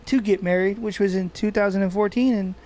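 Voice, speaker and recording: Casual co-host, male, relaxed rambling delivery with occasional smoker's cough. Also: sits high, fairly quick. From Texas. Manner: laid-back, swearing, rambling with restarts, coughing while smoking. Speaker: podcast co-host. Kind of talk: casual chat. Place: indoors, seated smoking.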